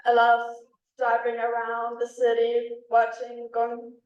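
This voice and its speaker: A young Danish-accented woman with a slightly high voice talks casually, filling pauses with ums and likes. She sounds a little far from the mic, and the meeting room gives her voice a noticeable echo. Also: completely flat delivery, muffled recording. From Denmark. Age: young adult. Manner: chatty with many ums and likes. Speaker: exchange student. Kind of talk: casual talk at a club meeting.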